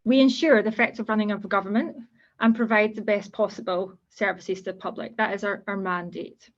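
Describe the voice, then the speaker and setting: A woman's voice: mid-range voice, a little fast. Woman, Scottish accent, guest speaker, careers talk over a video call, informal explainer, dull lo-fi audio. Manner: explanatory, steady, informal asides. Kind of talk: online careers presentation.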